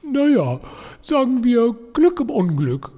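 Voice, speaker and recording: A woman's voice: on the high side, very animated, slow pace, mellow, in a large hall, full character voice. A woman playing a scheming, self-serving office employee in a comedic radio play, smug and wheedling.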